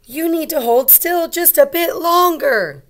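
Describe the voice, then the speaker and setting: A woman's voice: very high-pitched, animated, measured pace, voice pushed up. A woman voicing a caring little girl who talks to her puppy in a storybook, with emphatic read-aloud delivery. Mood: urging.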